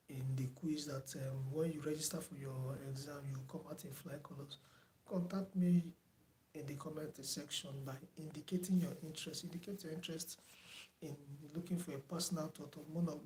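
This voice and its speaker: A soft-spoken man closing an online driving theory class, talking unhurriedly with many fillers and repeats. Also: fairly high pitch, slight background noise. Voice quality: soft-spoken, says he does not shout. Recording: echoey room with faint background ambience.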